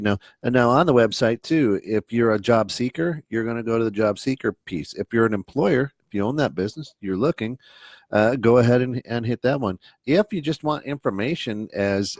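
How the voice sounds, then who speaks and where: A man's voice: on the low side, dry recording, clean studio sound. A man with a Midwestern American accent co-hosting a business podcast, talking in a loose, chatty way with many restarts. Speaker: podcast co-host. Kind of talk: casual business chat. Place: studio.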